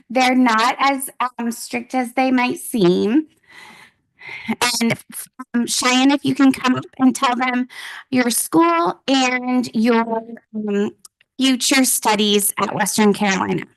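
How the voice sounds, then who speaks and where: A woman's voice: a little high, a bit bright, low-quality recording. A middle-aged woman presenting scholarship students at a formal meeting, speaking encouragingly and using frequent fillers.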